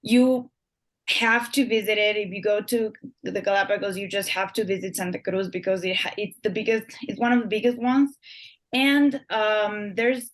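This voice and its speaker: Young woman from Ecuador, guest author, chatty and full of fillers, mid-pitched. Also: steady tone, normal speed. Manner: chatty, restarts words, many fillers. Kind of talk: casual interview answers.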